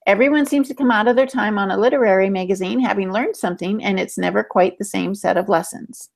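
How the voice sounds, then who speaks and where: A woman's voice: medium pitch, fast, creaky. A woman co-hosting a writing podcast, talking casually in a dry, matter-of-fact, teasing way. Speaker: podcast co-host and writer.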